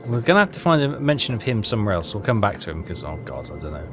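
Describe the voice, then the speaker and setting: A man's voice: lowish voice, big pitch swings, very fast, over music. A man hosting a casual game walkthrough, hesitant and rambling as he thinks aloud. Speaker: gaming video host.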